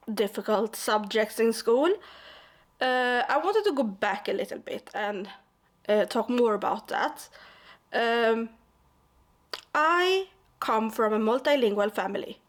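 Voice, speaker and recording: A young woman from Sweden with a Swedish accent, talking to camera in her vlog, with halting restarts and fillers. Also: a little high, lively intonation. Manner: slow, hesitant, many restarts and fillers. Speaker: YouTuber. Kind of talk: personal vlog talk.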